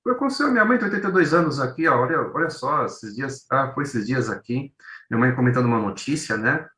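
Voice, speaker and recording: Bright, forceful São Paulo man presenting, roomy echo. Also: medium pitch, expressive, fast talker, far from the mic. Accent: São Paulo Brazilian. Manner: conversational, repeats words, illustrates with acted-out everyday examples. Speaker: co-presenter, journalist. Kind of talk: informal academic presentation.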